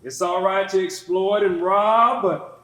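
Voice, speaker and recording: A man preaches in a high-pitched voice with emphatic, rhetorical repetition. The hall is very echoey, and a congregation can be heard behind him. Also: fairly even intonation, a little slow, a crowd in the background. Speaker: preacher delivering a sermon. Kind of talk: oratorical sermon with congregation responses. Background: congregation calling out responses.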